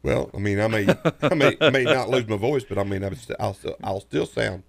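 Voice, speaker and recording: A man co-hosting a local sports radio show, hoarse from a sinus infection, rambling and repeating himself. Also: lowish voice, fairly quick. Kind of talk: casual sports talk. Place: radio studio. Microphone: studio microphone.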